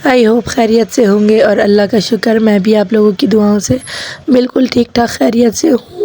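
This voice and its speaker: A woman in her thirties with a hoarse voice from a sore throat, chatting to viewers as she narrates her family vlog. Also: fairly high pitch, steady tone, moderate pace, mellow. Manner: chatty, addresses viewers directly. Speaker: vlogger. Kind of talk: casual vlog voice-over narration.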